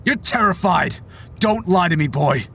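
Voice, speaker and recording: An actor playing an angry, controlling father in a confrontational, forceful audio drama style. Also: high voice, lively intonation, unhurried, vocal fry, slight background noise, exaggerated voice. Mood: angry, shouting. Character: hot-tempered, controlling father defying the military.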